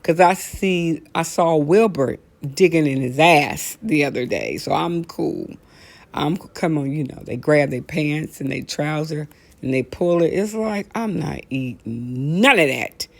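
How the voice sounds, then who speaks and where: A middle-aged American woman hosting a casual talk show, telling stories in a blunt, animated, colloquial way and repeating phrases for emphasis. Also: low-pitched, measured pace, gravelly. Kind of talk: casual comedic rant with storytelling.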